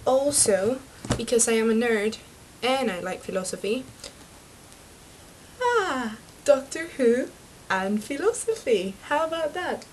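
A young woman from Venezuela, chatty and excitable, talking to her webcam about books in a small bedroom. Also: sits high, a little hiss or hum. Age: young adult. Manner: chatty and excitable, laughs at her own slips. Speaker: book vlogger. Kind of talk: casual chat to camera. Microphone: webcam mic.

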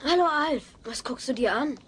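A woman's voice: high-pitched, expressive, moderate pace, fairly bright tone, breathy edge, full character voice. A woman playing an earnest, curious little boy in a comedy audio play.

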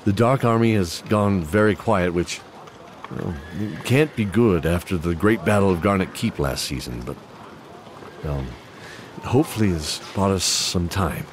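A man's voice: low voice, animated, a little slow, a little hiss or hum. A man playing a genial fantasy guide who hosts an audio drama, theatrical and folksy, with hesitant asides. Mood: fussy, earnest. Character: genial male guide sending adventurers off. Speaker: host and storyteller. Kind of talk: in-character fantasy audio drama host narration. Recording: music behind the voice.

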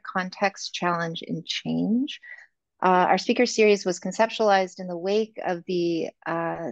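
A woman host and professor giving a measured formal welcome, reading from notes on a video call. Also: fairly low pitch, no echo. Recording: dull dry video call audio.

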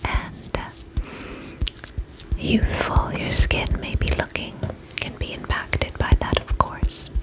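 Whispering woman as a gentle vampire spa attendant. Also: lightly acted, low-quality recording. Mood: soothing, attentive. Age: young adult. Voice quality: breathy whisper. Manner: soothing, slow, reassuring, polite. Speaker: ASMR performer playing a spa attendant. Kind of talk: ASMR whispered roleplay. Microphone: very close microphone.

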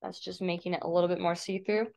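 A woman with a creaky voice, an art teacher casually explaining software step by step in a tutorial voice-over. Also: lowish voice, little pitch movement, fast talker. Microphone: screen-recording voice-over. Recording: dull, lo-fi voice-over.

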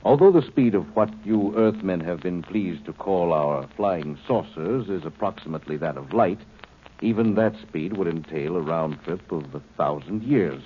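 An actor playing a calm, condescending male alien captor in a 1950s radio drama, speaking in a measured, lecturing way. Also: sits low. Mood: cold. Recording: tinny old broadcast recording, faint hiss.

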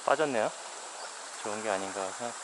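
A man's voice: on the low side, a little fast. A man fly fishing alone on a mountain stream, talking to himself in a casual, wry, weary way as he films with a head-mounted camera. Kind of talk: casual first-person fishing narration. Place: outdoors by a shallow mountain stream. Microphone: head-mounted action camera. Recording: outdoor stream noise behind.

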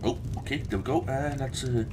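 A man who is not a native English speaker gives casual gameplay commentary, haltingly searching for words and swearing now and then. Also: mid-range voice, background noise. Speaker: let's play host.

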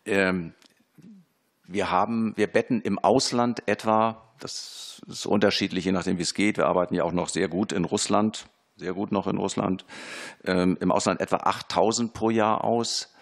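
A man with a very low, gravelly voice testifies before a committee. He speaks formally and with measured care, often pausing on fillers and restarting sentences. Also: fairly even intonation. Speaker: expert witness at a parliamentary committee.

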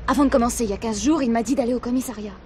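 A woman's voice: a little high, racing, background noise, natural voice. An actress playing a naive sixteen-year-old runaway girl in a radio drama, reserved and hesitant. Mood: confiding. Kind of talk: French radio drama acting.

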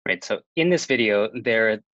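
A man presenting a prepared academic talk over a video call, in a measured reading manner. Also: fairly low pitch, expressive, a bit bright, no room sound. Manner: measured, reads from prepared notes. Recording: dull, dry lo-fi sound.

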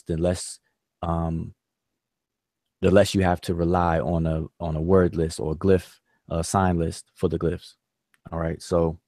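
A man with a very deep voice teaches an online class in a relaxed, easygoing way, leaning on "all right" and other fillers. The sound is dry and close, as if over a call. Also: dry recording.

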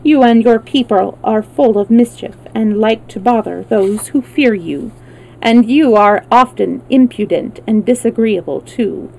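A blunt mechanical man from a children's storybook, read by a woman. He speaks in a measured, matter-of-fact way without emotion, in a mellow tone. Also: a little high, gravelly, rough recording. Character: unemotional, blunt, male mechanical man, wound-up machine.

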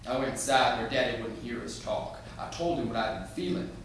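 A haunted man, played by a male actor narrates with deliberate menace and a forceful, bright tone. It is a stage monologue in a hugely echoing room. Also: medium pitch, natural voice. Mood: confiding, haunted. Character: haunted, unsettling Southern man recounting his childhood. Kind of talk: theatrical dramatic monologue. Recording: very echoey room, distant mic, faint noise.

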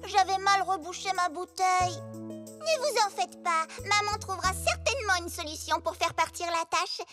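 A performer voicing an eager young girl zebra in an expressive, childlike way for a children's cartoon. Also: very high-pitched, normal speed, faint music behind. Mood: apologetic.

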